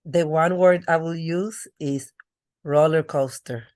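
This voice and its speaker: A woman's voice: deep voice, takes it slowly, a little mellow. A middle-aged woman from the Republic, a podcast guest telling her life story earnestly, with restarts. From Dominican Republic. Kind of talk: podcast interview.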